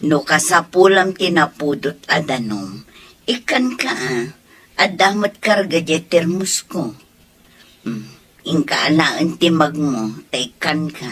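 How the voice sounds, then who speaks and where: A woman voicing a caring village neighbor who talks in a slow, homely way. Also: low-pitched, big pitch swings, breathy edge, heavily acted. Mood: concerned.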